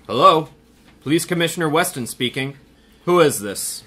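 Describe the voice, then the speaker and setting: A man's voice: on the high side, lively intonation, normal speed, background noise, close to an everyday voice. A man doing a blustering police commissioner. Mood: indignant. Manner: gruff, indignant, blustering. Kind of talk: 1930s radio drama reenactment.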